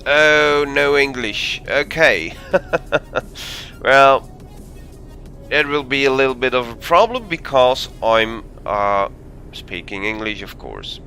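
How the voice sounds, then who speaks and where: Slow-talking man streaming a game. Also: medium pitch, animated, bright, forceful tone, soft background music. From Belgium. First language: Dutch. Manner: thinking aloud, easygoing, frequent ums and uhs. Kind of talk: live gameplay commentary.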